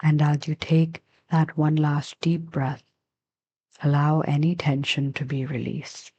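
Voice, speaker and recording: A woman leading a guided meditation as a teacher, giving gentle, unhurried instructions with long pauses. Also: sits low, level tone, dull audio.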